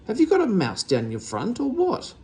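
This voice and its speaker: Man acting stern older aunt, high pitch, very animated, sharp, scolding, easily scandalised, storybook character. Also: moderate pace, husky, full character voice. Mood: horrified.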